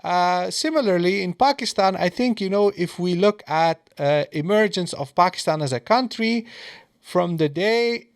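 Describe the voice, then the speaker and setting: Hesitant male host, smooth dry voice. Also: high-pitched, lively intonation, moderate pace, no echo. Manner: hesitant, many filler sounds. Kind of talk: conversational interview talk. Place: Europe.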